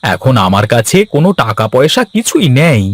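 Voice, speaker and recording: A man voicing a worried, scheming village husband in a cartoon, complaining loudly and quick to scold. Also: medium pitch, sing-song, dry recording. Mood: eager. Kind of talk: Bengali cartoon voice acting.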